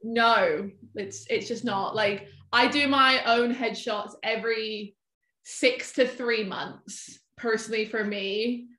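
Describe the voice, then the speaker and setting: A woman's voice: fairly high pitch, steady tone, slow pace, sharp tone. A woman in her twenties, a photographer, chatting casually and rambling with lots of "like" on a podcast. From half American, half English. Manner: chatty, rambling, heavy use of "like". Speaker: guest photographer. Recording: echoey room.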